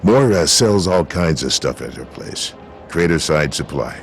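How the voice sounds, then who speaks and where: A man playing a gruff, folksy frontier sheriff and mayor in a video game, plainspoken and authoritative. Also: rumbling low, lively intonation, unhurried, soft background music, exaggerated voice. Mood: businesslike, wary. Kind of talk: video game character dialogue.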